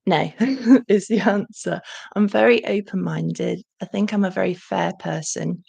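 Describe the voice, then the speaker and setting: Croaky woman chatting on a livestream. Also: mid-range voice, measured pace. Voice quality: croaky, gravelly. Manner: chatty, warm thanks, frequent ums. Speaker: channel host. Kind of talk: casual livestream Q&A chat.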